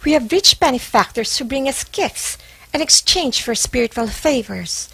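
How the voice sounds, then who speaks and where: A woman's voice: high voice, lively intonation, normal speed, vocal fry, pushed and bright, husky, no echo, full character voice, rough recording. A woman playing a devout, plaintive nun in a stage play. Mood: devout, regretful. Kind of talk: amateur stage play acting.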